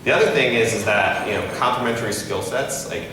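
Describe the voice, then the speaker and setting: A man's voice: medium pitch, expressive, fairly quick. A man in his thirties, an entrepreneur and investor, answering interview questions in a loose conversational way, often adding "right?" as he talks. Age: 30s. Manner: conversational, many restarts and "right?" tags. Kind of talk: casual live interview on stage. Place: event hall with audience. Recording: echoey hall, far from the mic, noisy.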